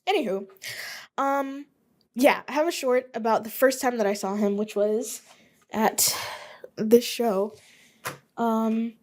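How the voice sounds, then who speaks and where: A woman's voice: on the high side, takes it slowly, pauses often. A young woman from Alabama hosting her own podcast, rambling chattily with lots of fillers. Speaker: podcast host. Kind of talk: casual solo podcast monologue.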